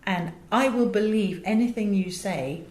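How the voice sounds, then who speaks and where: A woman's voice: mid-range voice, measured pace, a little mellow. A British woman being interviewed, telling anecdotes and explaining her work in an articulate, emphatic way. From England. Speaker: interview guest, audio director and producer. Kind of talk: animated conversational storytelling in an interview. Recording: roomy, echoing interview sound.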